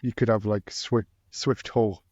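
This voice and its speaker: A man's voice: on the low side, fairly even intonation, measured pace, a little mellow, dry recording. A man who organizes conferences, chatting on a podcast and restarting his sentences often. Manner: hesitant, restarts a lot. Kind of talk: casual podcast chat. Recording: dry, dull lo-fi sound.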